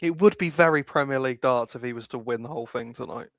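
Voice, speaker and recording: A man's voice: medium pitch, quick pace, fairly bright tone, no room sound, studio quality. A man co-hosting a casual live darts chat, easygoing and teasing, calling his friend "mate". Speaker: co-host.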